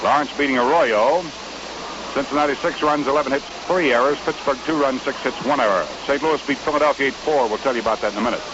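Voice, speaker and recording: A man's voice: medium pitch, fast talker, noisy background. An American man calling baseball play-by-play in a folksy 1950s radio commentary style, on a muffled old broadcast recording. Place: ballpark broadcast booth.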